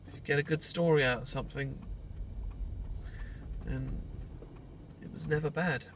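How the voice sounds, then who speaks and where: A man's voice: fairly high pitch, steady tone, moderate pace, fairly bright tone, a little hiss or hum, lightly acted. A British man acting a heartbroken, newly separated friend in an intimate audio roleplay, stammering and self-deprecating as he shyly confesses an old love. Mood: rambling, wistful. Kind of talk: intimate audio roleplay, naturalistic monologue to the listener.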